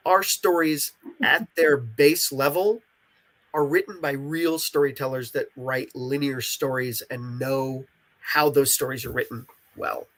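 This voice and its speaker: A man's voice: medium pitch, fairly even intonation, unhurried, fairly bright tone, rough recording. A talkative man explaining his creative work in a casual interview, stressing points by repeating them. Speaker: interviewed writer and creator of interactive audio dramas.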